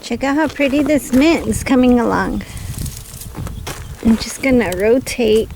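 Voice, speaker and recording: A woman's voice: on the high side, measured pace, gravelly. A woman with a scratchy, allergy-affected voice, chatting casually and thinking aloud like a home vlogger at her chores. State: scratchy voice and throat clearing from allergies. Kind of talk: casual chat while doing backyard chores.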